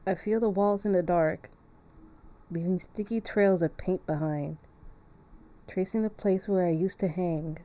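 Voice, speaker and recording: A woman reading aloud as a melancholy gothic painter narrating haunted memories in a visual novel, measured and reflective. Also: on the low side, warm tone, lightly acted.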